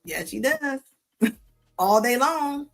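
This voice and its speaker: A woman's voice: on the high side, lively intonation, slow pace, gravelly, fairly bright tone, soft background music. A woman hosting a live show, reacting to clips in a sassy, scolding tone with casual slang, often repeating herself. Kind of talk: live commentary on videos.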